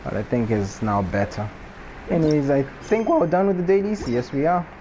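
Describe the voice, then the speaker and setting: A man's voice: on the high side, animated, moderate pace, dark tone, low-quality recording. A man from Botswana with a Botswana English accent, chatting casually and laughing often while live-streaming a game. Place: home room during a rainstorm. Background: faint rain and ambient noise.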